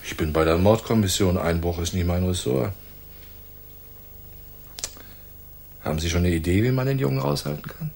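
An actor playing a hard, suspicious veteran homicide inspector in a radio play, gruff and probing, with a gravelly voice. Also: male voice, sits low, fast, a little mellow. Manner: gruff, probing, tough-talking. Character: hard, cruel, suspicious male homicide police inspector, old hand.

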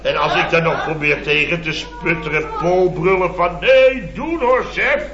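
An actor playing an exasperated, blustering boss in an old radio comedy, telling indignant tales with mimicked quotes. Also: male voice, a little high, unhurried, a crowd in the background. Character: blustering, exasperated male boss of a building firm. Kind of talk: 1970s Dutch radio comedy acting. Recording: muffled old radio recording, roomy, studio audience.

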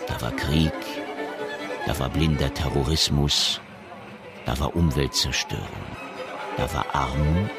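An actor as a solemn storyteller, narrating a science fiction radio play in a measured, literary way. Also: male voice, very deep voice, steady tone, a crowd in the background. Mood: solemn narration. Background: music.

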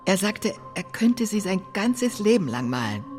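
An actress playing a grave, guarded sister giving measured testimony about her family's past in a radio drama. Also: mid-range voice, sing-song, darkish tone, faint music behind. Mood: wistful. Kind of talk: German radio drama acting, narrative testimony.

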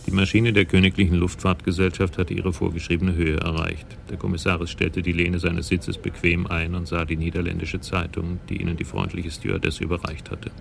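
A man narrating a German radio crime drama from a script in a measured, wry, descriptive way. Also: deep voice, little pitch movement, creaky, slight background noise. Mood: matter-of-fact.

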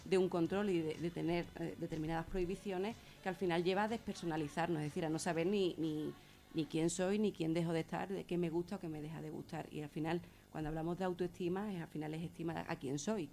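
A woman with a Spain accent and a fairly low voice talks in a radio discussion. She explains earnestly, circling back to rephrase, with music playing behind her. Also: fairly even intonation, fast talker, dark tone. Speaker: guest speaker on gender violence.

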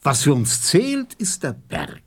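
Pompous old scholar, swooping and slow. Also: male voice, fairly high pitch, huge pitch swings, husky, heavily acted. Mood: self-important. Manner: pompous, pedantic, emphatic. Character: pompous, self-important old scholar, a geographer. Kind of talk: radio play acting.

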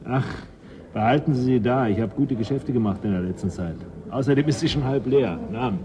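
An actor playing a shrewd, easygoing settler and schemer in a 1980s German radio drama, persuasive and cynical. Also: male voice, medium pitch, brisk, noisy background, close to an everyday voice. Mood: breezy.

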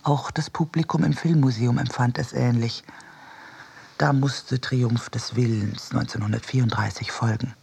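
Husky, creaky male radio narrator. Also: mid-range voice, steady tone, normal speed, a little mellow. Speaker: reader of a literary essay. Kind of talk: scripted narration in a radio production.

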